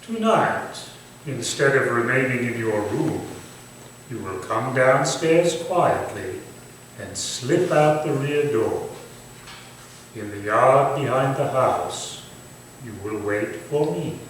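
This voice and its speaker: A man playing a manipulative, commanding Victorian gentleman villain in a staged thriller, speaking in formal, theatrical phrases. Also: medium pitch, expressive. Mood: coaxing, sinister. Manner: formal, deliberate, theatrical delivery. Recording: distant, echoey stage recording with faint noise.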